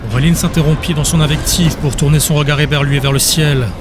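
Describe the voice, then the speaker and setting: A man's voice: on the high side, steady tone, fast talker, noisy background. A man reading scripted dramatic narration as the narrator of an audiobook.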